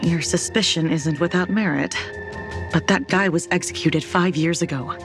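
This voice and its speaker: A woman's voice: fairly low pitch, normal speed, natural voice. A woman playing a cool, wry, world-weary security official in a video game, speaking in a clipped, dry manner. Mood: wry, warning. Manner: clipped, matter-of-fact, dry. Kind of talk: dramatic game acting. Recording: music under the dialogue. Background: music.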